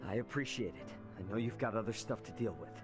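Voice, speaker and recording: A man's voice: on the low side, quick pace, lightly acted. An actor playing a young male hero who talks bluntly and casually in a video game. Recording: game cutscene with music behind.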